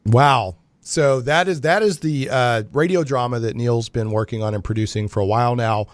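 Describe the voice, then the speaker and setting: A man hosting a live radio show, chatting informally and haltingly, often repeating words as he goes. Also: medium pitch, a little hiss or hum. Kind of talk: casual live radio chat.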